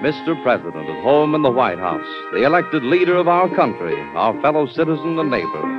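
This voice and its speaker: Male old-time radio announcer, formal and dramatic, mid pitch, over loud music. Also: big pitch swings, a little fast. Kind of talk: 1940s radio announcing, scripted narration. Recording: dull vintage radio recording.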